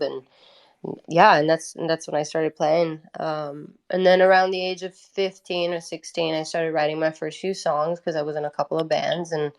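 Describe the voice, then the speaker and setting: Woman, lowish voice, informal interview storytelling, thinks aloud, peppers speech with "you know". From Italy. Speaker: guest, independent singer-songwriter. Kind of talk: casual conversational interview storytelling.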